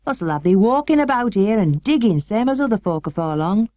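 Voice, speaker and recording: A woman's voice: mid-range voice, sing-song, brisk, warm tone, no room sound, voice pushed up, close to an everyday voice. A woman voicing a kindly, stout-hearted Yorkshire country boy in an audiobook, speaking rustic dialect in a hearty, reassuring way. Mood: encouraging. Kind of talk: audiobook character acting.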